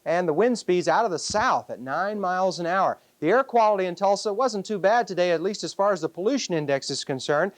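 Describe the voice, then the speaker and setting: A man's voice: high-pitched, animated, fairly quick, hardly any pauses, no echo. A man giving a chatty, conversational weather report on a local television newscast. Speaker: weather forecaster.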